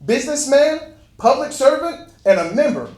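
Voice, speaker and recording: Man playing a high-voiced, proud champion athlete. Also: sing-song, normal speed, a little mellow. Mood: proud, formal. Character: proud male Olympic medalist turned public servant. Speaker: presenter in a dramatic reading. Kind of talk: first-person scripted historical monologue. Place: indoor room at a live event. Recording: roomy, echoey live hall recording.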